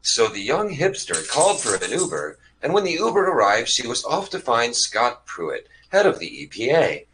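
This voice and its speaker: A man's voice: mid-range voice, sing-song, normal speed, hardly any pauses, fairly bright tone, slight background noise, poor audio. A man narrating comedy sketches in a theatrical, mock-announcer style.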